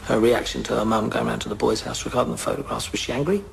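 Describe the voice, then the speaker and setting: Middle-aged English male detective, gravelly, plain-spoken, probing, faint background noise, audio drama. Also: mid-range voice, steady tone, fast talker. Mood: questioning, measured. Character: seasoned, steady police detective sergeant, a family man.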